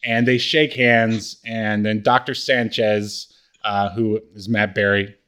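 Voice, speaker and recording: Halting man chatting on a podcast. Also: lowish voice, flat delivery, fairly bright tone, clean tone. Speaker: podcast co-host. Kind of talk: casual chat recapping a comedy show.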